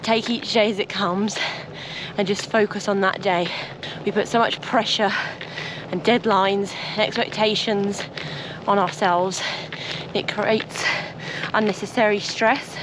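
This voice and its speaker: A woman in her twenties, her voice husky from dust, talking earnestly and reflectively to her camera outdoors during a long bike trip. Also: fairly high pitch, noisy background. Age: 20s. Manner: earnest, reflective, with soft laughs. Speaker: vlogger.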